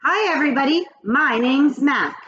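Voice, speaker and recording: A woman reading aloud and voicing a freckled schoolgirl in a brighter, chirpy voice. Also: high-pitched, animated, normal speed, muffled recording, pitched above the performer's own voice. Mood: confident. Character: freckled schoolgirl who turns bold.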